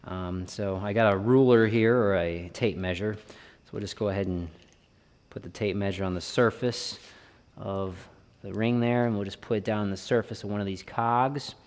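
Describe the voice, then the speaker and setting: Guy doing a casual diy how-to, lowish voice, thinking out loud, echoey garage reverb. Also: fairly even intonation, moderate pace. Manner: informal, thinking aloud while working. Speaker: bike vlogger and host. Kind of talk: casual hands-on tutorial narration. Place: indoor garage or shed workshop with plywood walls. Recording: reverberant workshop room sound.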